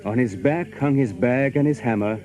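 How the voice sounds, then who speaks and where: A man's voice: medium pitch, moderate pace, warm tone, soft background music. A man narrating an adventure tale as a storybook narrator in an audio drama.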